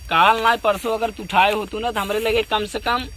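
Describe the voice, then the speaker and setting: A man's voice: high voice, flat delivery, moderate pace, pushed and bright. A man with an Awadhi accent from eastern Uttar Pradesh rambles and repeats himself in a casual, complaining phone conversation, recorded outdoors in noisy surroundings. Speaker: comedian doing a phone-call skit.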